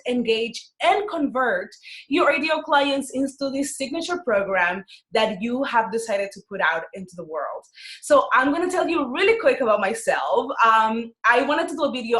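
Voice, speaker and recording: A woman with a Dominican Spanish accent giving an excited, informal motivational talk to camera as a business coach. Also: fairly high pitch. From Dominican Republic. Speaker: business coach and course creator. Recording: echoey, roomy sound.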